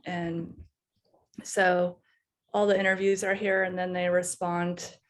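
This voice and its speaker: A woman's voice: lowish voice, flat delivery, slow, a little hiss or hum. A woman college instructor giving a conference presentation. She explains a class assignment step by step. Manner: explanatory, walks through class materials step by step.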